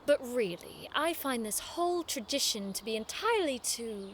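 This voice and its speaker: Woman playing a high-voiced, prim young noblewoman. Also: animated, normal speed, a little hiss or hum, natural voice. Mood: arch, teasing. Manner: arch, formal, sarcastic. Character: prim, exasperated young noblewoman student with sharp tongue. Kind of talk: audio drama acting.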